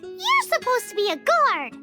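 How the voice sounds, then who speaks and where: Squeaky, very high childlike sprite. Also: female voice, huge pitch swings, unhurried, full character voice. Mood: blunt, doubtful. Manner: squeaky, exclaiming, blunt. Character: tiny excitable childlike female companion sprite, blunt and cheeky. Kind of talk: scripted video game voice acting. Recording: music behind the voice.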